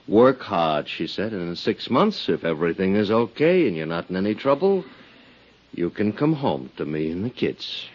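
An actor playing a bitter, wheedling ex-convict in a 1950s radio drama, speaking in an aggrieved, halting, insistent way. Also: male voice, fairly low pitch, big pitch swings, husky. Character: bitter, wheedling male ex-convict swindler, middle-aged. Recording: muffled 1950s radio recording.

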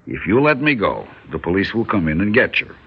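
Husky, low-voiced stubborn old shopkeeper. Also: male voice, sing-song, full character voice. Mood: resolute. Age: older. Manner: wry, deliberate, resigned. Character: weary, stubborn old male delicatessen owner held hostage. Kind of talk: 1960s radio drama acting. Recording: dull old radio broadcast.

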